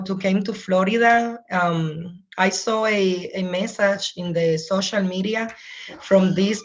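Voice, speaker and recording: A woman's voice: mid-range voice, lo-fi sound. A woman from Puerto Rico with a Puerto Rican Spanish accent, a native Spanish speaker, introducing herself as a program coordinator on a video call, searching for words in English.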